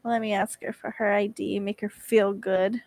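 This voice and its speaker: A woman in her twenties co-hosts a chat podcast and steers the talk with questions. She teases and giggles often. Her voice is mid-pitched and sounds dry and close. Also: little pitch movement, normal speed, a little mellow, no echo. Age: 20s. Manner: teasing, giggly, asks the questions. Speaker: podcast co-host. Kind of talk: casual chat.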